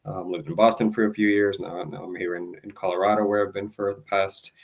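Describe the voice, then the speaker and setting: A man's voice: deep voice, flat delivery, a little fast, creaky. A man from Puerto Rico answering interview questions earnestly, with frequent restarts and fillers. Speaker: guest, advocacy group president.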